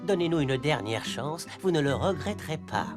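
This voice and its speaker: A woman's voice: low-pitched, lively intonation, normal speed, music in the background. A woman voicing a polite, earnest, learned little creature in a fairy-tale cartoon, with courteous, slightly formal speech. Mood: persuasive. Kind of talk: animated fairy-tale dub.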